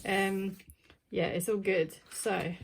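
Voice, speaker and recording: A woman's voice: mid-range voice, fairly even intonation, slow pace, vocal fry. A woman chatting casually while unboxing a plant subscription box, thinking aloud with plenty of ums. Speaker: plant vlogger.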